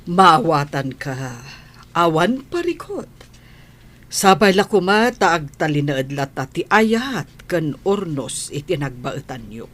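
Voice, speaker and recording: A woman's voice: deep voice, sing-song, slow, a bit bright, heavily acted. An actress playing a caring, motherly middle-aged auntie in a radio drama, giving advice in a reassuring manner. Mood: gentle, reassuring.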